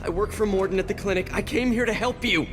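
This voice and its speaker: An actor playing a frightened, earnest young clinic assistant in a video game, pleading desperately. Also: male voice, high voice, quick pace, close to an everyday voice. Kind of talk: video game character acting. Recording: music behind.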